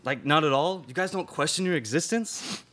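A man's voice: a little high, normal speed, fairly bright tone. A young man playing a musing, casual moviegoer in student radio theatre dialogue. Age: young adult. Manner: casual, rambling. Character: musing young male moviegoer given to existential questions. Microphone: microphone on stand.

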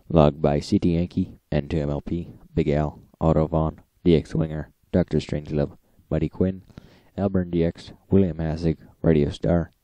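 Very dark, low, gravelly man. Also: dry recording, muffled recording. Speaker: host of a radio logging recap. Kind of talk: informal recap reading out logs.

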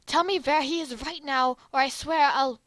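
A woman voicing an angry, demanding male anime soldier with forceful delivery in an amateur fan drama. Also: high-pitched, moderate pace, no echo. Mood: furious. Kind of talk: amateur fan dub roleplay.

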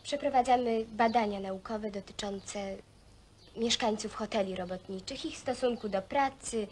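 Actress as earnest woman sociologist, fairly high pitch, polite, careful, film drama, light background noise. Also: normal speed, darkish tone, lightly acted. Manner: courteous, formal, somewhat hesitant. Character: polite, earnest female sociology researcher conducting a survey. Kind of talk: naturalistic film acting. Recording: faint background noise, old film soundtrack.

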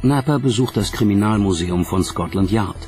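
A man's voice: lowish voice, normal speed, over music. A man reading scripted documentary narration as the narrator.

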